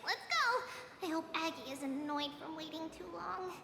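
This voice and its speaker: A tiny, bubbly winged demigoddess. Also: female voice, extremely high pitch, moderate pace, gravelly, heavily acted. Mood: chatty. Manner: bubbly, sing-song and lively. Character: tiny winged girl-like demigoddess, cheerful and chatty. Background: game music.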